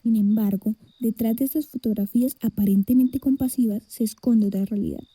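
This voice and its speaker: A woman playing a grave news reporter, with husky, breathy, formal scripted narration. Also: a little high, fairly even intonation, a little fast, mellow, dry recording.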